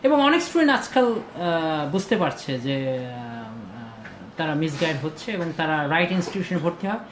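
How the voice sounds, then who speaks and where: A man talks through exam advice in a casual discussion. He pauses often and fills the gaps with hesitations. The sound is roomy, with a faint background noise. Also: on the high side, sing-song. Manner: hesitant, many fillers, slow deliberate phrasing. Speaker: IELTS trainer. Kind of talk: casual discussion, mixing in Bengali. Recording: echoey room with faint ambient noise.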